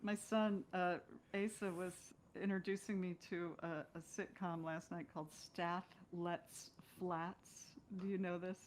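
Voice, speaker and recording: A woman's voice: fairly low pitch, level tone, a little slow, hardly any pauses, a little hiss or hum. A middle-aged woman, an actress talking as a guest in a casual, reflective way. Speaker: guest, stage and screen actress.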